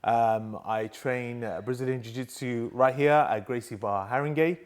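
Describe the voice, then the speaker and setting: Man, 30s, from Iran, podcast guest, animated storyteller, casual chat. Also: mid-range voice, normal speed. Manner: animated, says "bro" often. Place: martial arts gym.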